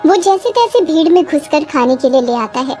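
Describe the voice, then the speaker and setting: Female storyteller, high register, scripted dramatic narration over a music bed. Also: lively intonation, normal speed, a little mellow.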